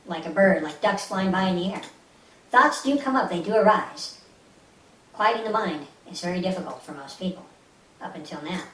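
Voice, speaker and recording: A woman's voice: on the low side, expressive, moderate pace, fairly bright tone. A woman leading a guided meditation as an instructor, speaking in a soothing, instructive way with short repeated phrases. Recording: roomy, distant mic with faint hiss.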